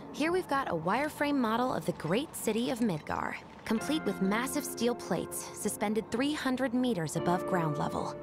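Woman doing a cheeky young rebel girl, easy mid voice, breezy and teasing, loud game music. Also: mid-range voice, natural voice. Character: playful young woman rebel, tech expert. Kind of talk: video game voice acting.

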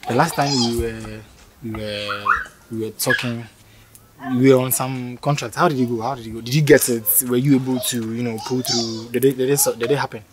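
A man's voice: medium pitch, steady tone, a little fast, background noise, close to an everyday voice. An actor playing a polite, awkward young man who stammers and speaks apologetically. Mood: friendly. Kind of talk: scripted skit acting.

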